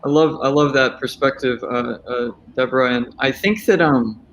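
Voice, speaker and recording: A man's voice: medium pitch, normal speed, a bit bright, a little hiss or hum. A man moderating a discussion panel, asking a long question in a thoughtful, hesitant way with many restarts.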